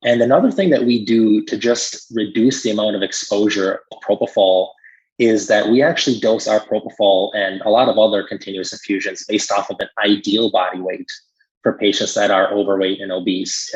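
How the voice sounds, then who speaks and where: A man explaining medical topics conversationally as an expert, in a measured way with frequent "you know" asides. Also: on the low side, breathy edge, dull audio.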